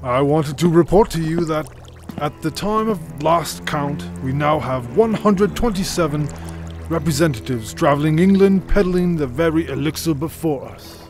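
Audio drama role: actor as deferential, ruthless period henchman, fairly high male voice, formal, music underneath. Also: animated, a little mellow, natural voice. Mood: deferential, pleased. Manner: formal, measured period diction. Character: deferential 1830s English henchman and salesman, quietly ruthless. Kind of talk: family audio drama acting.

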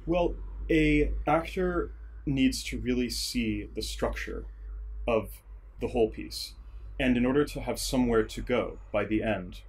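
A man speaking as an interview guest, giving measured, articulate analysis as a theatre scholar and director. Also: mid-range voice, husky. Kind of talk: thoughtful analytical interview talk.

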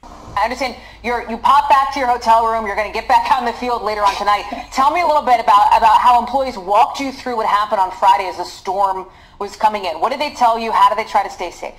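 A woman's voice: on the high side, fast, a bit bright, low-quality recording. An American woman in her thirties, a news anchor putting live interview questions from a studio.

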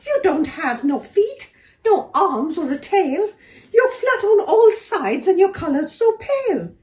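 A woman voicing a bewildered mother kangaroo who is shrill and overwrought, half laughing and half sobbing. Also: very animated, slow, darkish tone, exaggerated voice. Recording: echoey room, distant mic.

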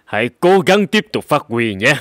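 A man voicing a kindly older mentor in a cartoon, speaking in a measured, earnest and encouraging way. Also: mid-range voice, very animated, a bit bright, dry recording, studio quality. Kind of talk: animated cartoon dialogue.

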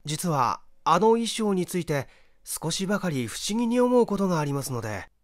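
A man's voice: high-pitched, sing-song, normal speed, no echo, studio quality, voice pushed up. A man playing a curious amateur sleuth in a story reading, speaking politely and probingly.

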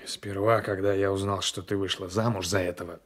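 An actor playing a brooding, passionate gendarme and former lover with a menacing streak, in a radio drama. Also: male voice, sits low, little pitch movement, fairly quick. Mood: bitter, passionate. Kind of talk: Soviet 1980s radio drama acting.

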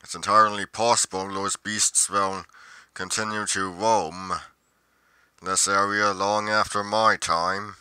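A man playing a brooding, solitary monster investigator in a roleplay monologue, musing deliberately with pauses mid-sentence. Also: on the low side, level tone, sharp tone, smooth, no echo, studio quality, full character voice. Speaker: roleplay performer.

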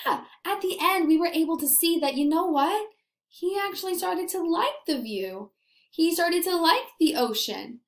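A young woman leading a lesson for small children. Her voice is high, with an animated, encouraging delivery and strong emphasis. The small room adds a clear echo. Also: moderate pace. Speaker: teacher.

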